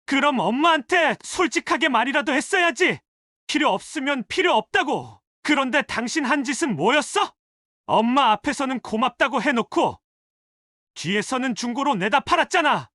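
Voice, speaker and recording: An actor as an indignant grown son in a family audio drama, pitched strikingly high and bright. He scolds his wife with accusing rhetorical questions, in a very dry recording. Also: very animated, moderate pace, dry recording, full character voice. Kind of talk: dramatized family story dialogue.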